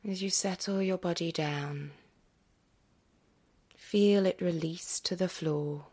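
A woman guiding a yoga nidra meditation in a hushed, soothing way, gently and unhurriedly, with a breathy, husky voice that often nears a whisper. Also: on the low side. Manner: gentle, unhurried, with long pauses.

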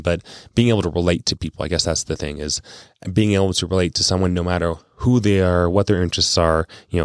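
A man's voice: deep voice, dry recording, studio quality. A man talking in a chatty, informal, rambling way, as an investment adviser hosting his own casual podcast. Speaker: investment adviser and show host. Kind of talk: casual monologue on a financial podcast.

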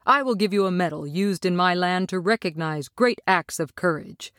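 A woman's voice: on the low side, moderate pace, fairly bright tone, smooth, no room sound, clean studio sound, natural voice. A woman voicing a kindly, sheepish little old man, a fraudulent storybook wizard who speaks apologetically. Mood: reassuring. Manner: stuttering, sorrowful.

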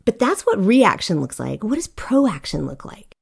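A woman's voice: mid-range voice, huge pitch swings, moderate pace, vocal fry, darkish tone, breathy edge. A middle-aged woman from Korea hosting a solo podcast, talking candidly and conversationally with fillers and restarts. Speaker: podcast host.

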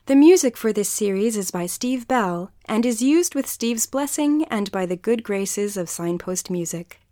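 Woman hosting, mid-pitched scripted outro. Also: animated, a little slow, mellow. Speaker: podcast host.

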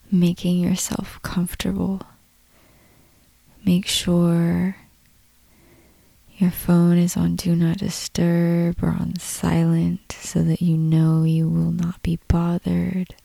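A woman leading a guided meditation in a soft, breathy, calm voice, unhurried, with long pauses between short phrases. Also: fairly low pitch, no room sound. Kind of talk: slow guided meditation narration.

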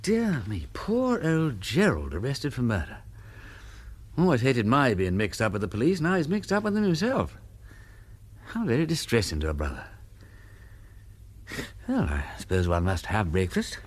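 An actor playing a languid, breezy gentleman detective in a radio drama, with an upper-class English accent and a drawling, exclaiming manner. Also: medium pitch, huge pitch swings, moderate pace, long pauses, breathy edge. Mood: dismayed but breezy. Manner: drawling, effusive, hesitant exclamations.

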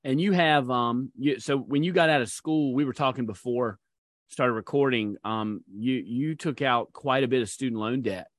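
A man's voice: medium pitch, moderate pace, no room sound. Man, podcast co-host, rambling delivery full of fillers, dry close sound. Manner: rambling, many fillers and restarts. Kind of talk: casual podcast chat.

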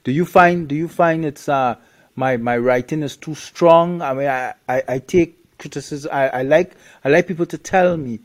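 Blunt man from Trinidad, halting speech. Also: on the high side. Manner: blunt, halting with many restarts. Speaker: guest. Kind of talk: casual interview talk.

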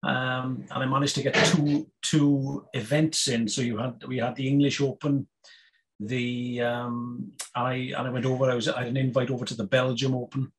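A Welsh man in his fifties, a guest on a podcast, talking casually with many pauses, fillers and restarts. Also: mid-range voice, little pitch movement, low-quality recording. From Wales. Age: 50s. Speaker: guest, snooker player and federation chairman.

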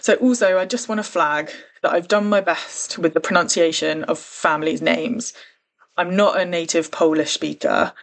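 A woman's voice: lowish voice, moderate pace, vocal fry. A woman hosting a true crime podcast, talking in an earnest, careful and conversational way. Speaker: podcast host.

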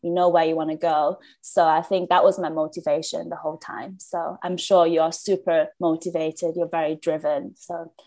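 A woman's voice: deep voice, little pitch movement, moderate pace, hardly any pauses, dark tone. Upbeat woman in her late twenties. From China. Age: late 20s. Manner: upbeat, laughs often, many fillers. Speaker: podcast guest, business founder. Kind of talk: casual interview chat.

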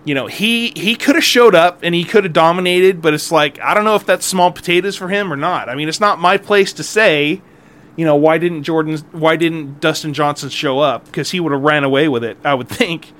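A man's voice: on the high side, brisk, fairly bright tone. A middle-aged man hosting a golf podcast alone, chatting casually in a rambling, jokey, self-deprecating way. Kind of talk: casual solo podcast chat.

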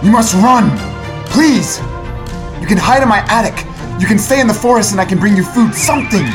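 A man's voice: high-pitched, a little fast, close to an everyday voice. An actor playing an anxious, humble tailor in his forties in a radio drama, pleading and nervous. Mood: frantic pleading. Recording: loud music behind the voice.

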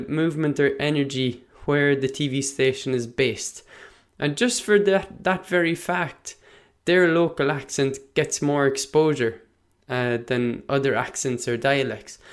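A man's voice: a little high, measured pace. Irish man musing with many fillers. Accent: Irish, Munster. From Ireland. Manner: thoughtful, with frequent "uh" fillers and self-corrections. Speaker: Irish language teacher. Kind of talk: casual spoken lesson, talking to the listener.

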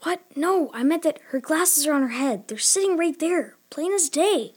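An actress playing a forthright young child adventurer in a children's audio drama. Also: female voice, high voice, lively intonation, normal speed, a little mellow. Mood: exasperated, whispering.

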